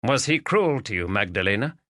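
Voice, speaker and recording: A man playing a composed, gallant English gentleman detective, firm, measured and courteous. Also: lowish voice, big pitch swings, no room sound, clean studio sound. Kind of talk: audiobook character voice.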